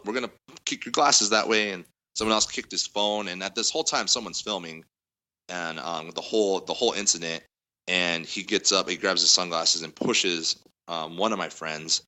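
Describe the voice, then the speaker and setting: A man with a loud voice, a guest musician in a casual interview, telling a long story with lots of fillers. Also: deep voice. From Denver area. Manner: rambling storytelling, heavy fillers.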